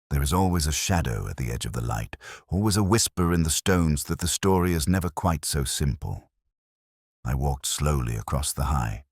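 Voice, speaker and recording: A man narrating a literary detective story as a brooding, world-weary inspector, in a measured, reflective and formal manner. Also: a bass voice, breathy edge, dry recording, clean studio sound. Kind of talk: literary first-person scripted narration.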